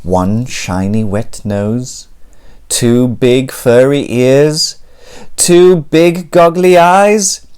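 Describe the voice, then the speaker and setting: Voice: medium pitch, extremely slow, a little mellow, clear, resonant tone. A storyteller reading a children's picture book aloud, theatrically, drawing words out and dropping into whispers for sound words.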